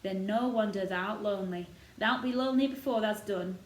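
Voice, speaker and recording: A woman's voice: mid-range voice, moderate pace, lightly acted. A woman voicing a blunt old male gardener with a Yorkshire accent and rustic dialect, for a storybook. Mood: matter-of-fact. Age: older. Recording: roomy echo, noticeable background noise.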